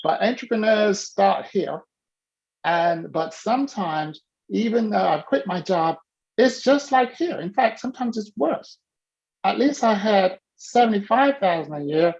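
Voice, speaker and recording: A man coaching a participant on a video call, animated and teasing as he prods them by name. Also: high voice, a little slow, gravelly, dull audio. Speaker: business coach.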